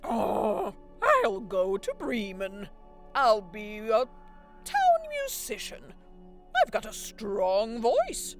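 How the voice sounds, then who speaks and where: A woman voicing an old, tired male donkey in a bedtime storybook, speaking in loud, sing-song exclamations. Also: a little high, wildly swooping pitch, very slow, music in the background, pitched above the performer's own voice, heavily acted. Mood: hopeful.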